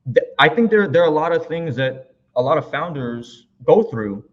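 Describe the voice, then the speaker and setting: A man hosting an interview show, his voice at an ordinary pitch. He often stumbles over his words and repeats himself as he asks his questions. The audio sounds muffled and lo-fi. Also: expressive, normal speed. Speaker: show host.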